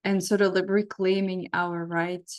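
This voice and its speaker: A woman's voice: fairly low pitch, little pitch movement, unhurried, a little mellow. A middle-aged woman with a Russian accent, a therapist leading a casual workshop, talking thoughtfully and often restarting her sentences. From Belarus.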